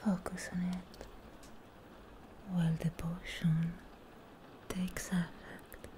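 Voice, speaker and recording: Young woman, ASMR witch roleplay. Hushed whisper, dark mellow tone, steady flat pitch, close mic over noticeable ambient noise. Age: young adult. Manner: hushed, breathy whispering, drawn-out phrases. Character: charming, persuasive, eerie witch performing a cleansing ritual. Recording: close-miked whispers with clear background noise.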